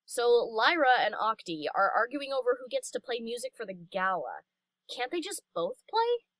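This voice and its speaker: A woman acts out a brash young female DJ pony for a storybook reading. Her voice is fairly high and very animated, with slangy, chummy bluntness and a close, dry sound. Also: normal speed, no echo. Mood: curious. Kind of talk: audiobook character acting.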